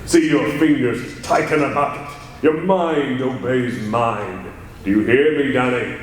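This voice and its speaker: A man playing a mysterious male crime-fighter on a live stage, slow and commanding with a menacing laugh, in old-time radio style. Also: mid-range voice, a little hiss or hum, natural voice. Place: large hall, live stage. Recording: echoey hall from far off.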